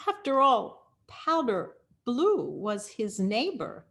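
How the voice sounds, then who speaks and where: Slow, expressive woman reading a children's story. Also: a little high. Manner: expressive, deliberate storytelling with dramatic pauses. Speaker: storyteller, rabbi. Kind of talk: children's picture-book read-aloud.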